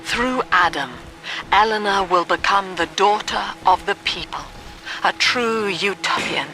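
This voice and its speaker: A woman's voice: on the low side, vocal fry, full character voice, low-quality recording. A woman playing a cold, grandiose cult leader delivering a solemn video game villain monologue. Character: cold, lofty female cult leader and ideologue.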